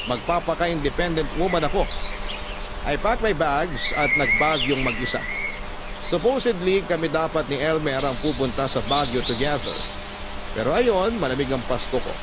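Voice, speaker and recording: A man's voice: fairly high pitch, very noisy, lightly acted. A Filipino man voicing a heartbroken young woman telling her love story in a confiding, emotive radio drama narration, drawing out the ends of phrases. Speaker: story narrator reading a letter sender's account. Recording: loud music under the voice. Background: loud background music.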